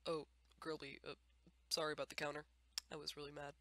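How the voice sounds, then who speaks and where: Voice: mid-range voice, monotone, fairly quick, a bit bright, no echo, close to an everyday voice. A performer voicing a tired, dry-humored male skeleton with a casual, hesitant manner and a bitter edge in a comic dub. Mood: sheepish, resigned. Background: music.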